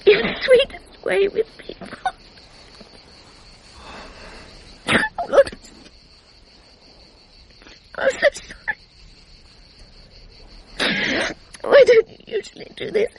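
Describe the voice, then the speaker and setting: High, crackly, fragile British woman. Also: animated, a little slow, creaky, pauses often, darkish tone, full character voice. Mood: tearful, tender. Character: emotional, confessional, fragile woman in love. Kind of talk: radio drama acting. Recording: faint noise, insects chirping, archive radio drama.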